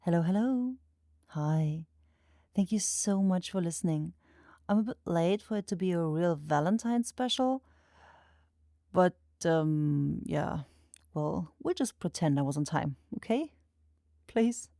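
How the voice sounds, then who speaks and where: A woman's voice: fairly low pitch, animated, measured pace, vocal fry, pauses often, mellow, no echo, studio quality. A woman chatting casually to her listeners in a bashful, self-correcting way. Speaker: voice actor and channel creator. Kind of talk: casual outro chat to listeners.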